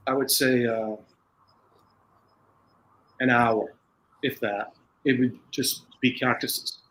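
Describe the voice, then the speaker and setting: American man, rambling and halting. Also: medium pitch, fairly bright tone, poor audio. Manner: rambling, halting, with frequent restarts. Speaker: podcast guest. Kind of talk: casual chat.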